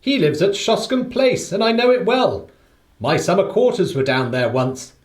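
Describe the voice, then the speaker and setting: A man acts out an affable British gentleman for a radio play, recorded in an echoing room. The voice is fairly high and lilts widely. He talks in a chatty, emphatic way, full of short phrases. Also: very animated, moderate pace. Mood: chatty, informative.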